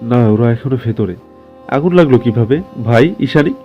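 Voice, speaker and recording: A man's voice: medium pitch, expressive, normal speed, lo-fi sound, faint music behind, natural voice. A man playing a loyal, anxious comrade in an audio story, speaking earnestly and urgently. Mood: frantic. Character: loyal, anxious younger male comrade in a rescue. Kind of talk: dramatized audio story acting.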